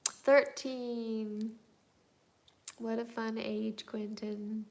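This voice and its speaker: A woman's voice: a little high, level tone, drawn-out words, darkish tone. A woman in her thirties chatting easygoingly on a livestream, reading out and replying to viewer comments. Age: 30s. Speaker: livestream host. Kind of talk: casual live chat answering viewer comments.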